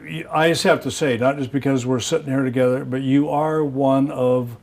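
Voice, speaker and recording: A man hosting a relaxed conversation, with a voice of ordinary middle pitch. He backs up his guest with quick, short interjections. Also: gravelly. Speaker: host. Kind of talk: casual conversation.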